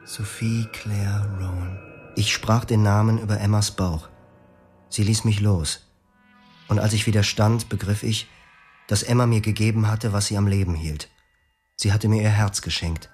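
A man tells his story in the first person for a radio drama, his voice low and grave. He keeps the delivery quiet and measured. Faint music sits under the words. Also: fairly even intonation. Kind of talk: scripted first-person narration.

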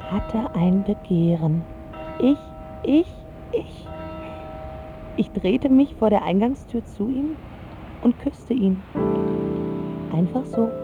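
A woman's voice: mid-range voice, narrow, tinny audio. An actress playing a smitten, self-assured teenage girl who narrates her story in a reflective, confiding way for a radio drama. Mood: elated. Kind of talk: scripted first-person radio drama narration.

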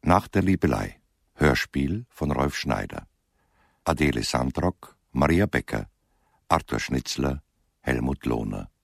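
A male announcer reads radio drama credits slowly in an extremely low, creaky voice. The delivery is scripted and the sound is close and dry. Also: very animated, dry recording.

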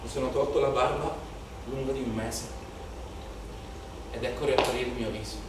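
A man's voice: medium pitch, steady tone, normal speed, natural voice. A male stage actor playing an impassioned, haunted young protester in a declamatory theatrical monologue, with incantatory repetition. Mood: subdued, confiding. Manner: declamatory, incantatory repetition, swelling from hushed to shouted. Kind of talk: theatrical dramatic monologue in Italian political theatre. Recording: very echoey theatre, distant mic, noisy.

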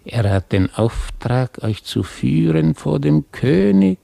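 An actor playing a folksy, lamenting news-bearer, rambling obsequiously in dialect-coloured speech in a radio play. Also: male voice, a little high, big pitch swings, slow pace, gravelly, mellow, full character voice. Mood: deferential, sly. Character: folksy, lamenting gossip bringing news to his master. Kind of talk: theatrical radio drama acting.

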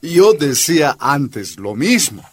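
An actor playing an easygoing, supportive miner husband who speaks colloquially with a Bolivian accent, in a radio drama. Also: male voice, medium pitch, very animated, slow, a little hiss or hum. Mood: relaxed, reassuring. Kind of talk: Spanish-language radio drama acting.

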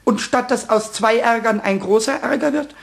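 A man voicing a cheeky, childlike little kobold in a children's radio play, squeaky and croaky, excitable, repeating words and turning mock-pompous. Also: moderate pace. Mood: puzzled.

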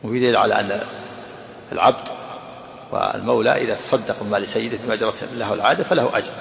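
Gravelly fast-talking teacher in an echoey hall. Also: male voice, on the low side, a bit bright. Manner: explanatory, repeats phrases. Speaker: sheikh explaining hadith. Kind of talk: hadith commentary lesson. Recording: echoey hall, faint noise.

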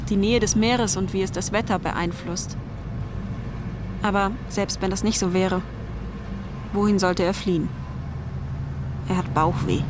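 A woman's voice: medium pitch, background noise. A woman reading scripted literary narration for a radio drama.

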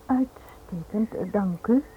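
A woman acts out a reserved upper-class lady in a radio play, polite but flustered. She speaks haltingly in a medium-pitched voice, on a narrow, faintly noisy old recording. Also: lightly acted.

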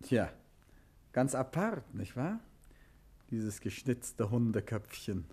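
An actor playing a calm, clever detective in a 1950s radio drama, coaxing and politely insistent. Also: male voice, mid-range voice, slow. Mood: genial. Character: calm clever male detective, gently probing.

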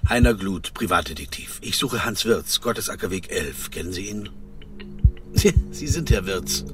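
Curt, world-weary private detective over music. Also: male voice, low-pitched, lively intonation, normal speed. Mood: dry, weary. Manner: curt, muttering, cursing. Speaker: actor in a radio play. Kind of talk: German radio drama acting.

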